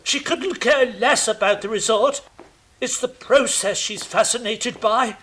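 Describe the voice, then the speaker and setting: A man's voice: very high voice, measured pace, bright, forceful tone, full character voice. A man playing a weary middle-aged king who talks in a dry, sarcastic, put-upon way.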